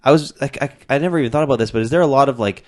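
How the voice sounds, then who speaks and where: A man's voice: medium pitch, racing. A young man hosting a podcast in casual chat, rambling and jokey, swearing freely. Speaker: podcast host.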